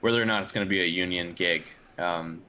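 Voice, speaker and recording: A man being interviewed as a composer, talking casually and haltingly, often repeating words as he thinks. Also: low-pitched, steady tone, sharp tone.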